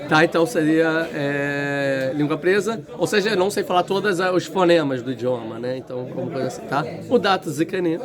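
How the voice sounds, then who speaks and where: A man's voice: on the high side, fairly quick, hardly any pauses. A man teaching a casual Torah lesson to a class, thinking aloud, restarting sentences and putting questions to the students. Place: large indoor room. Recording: room with crowd noise. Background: students in the room.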